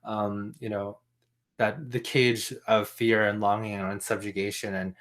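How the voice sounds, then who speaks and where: A young man with a fairly low voice emcees a student open mic. He is chatty and enthusiastic, and he leans on fillers as he talks. He sounds casual and relaxed. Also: a little slow. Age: young adult. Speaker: event host.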